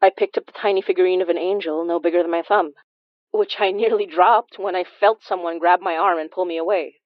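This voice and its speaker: An actress playing a gentle, anxious young woman who senses haunted objects, speaking haltingly in audio fiction. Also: fairly low pitch, steady tone, no echo, narrow, tinny audio, natural voice. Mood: reflective narration. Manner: hesitant, halting, stammering. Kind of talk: audio fiction acting with first-person narration.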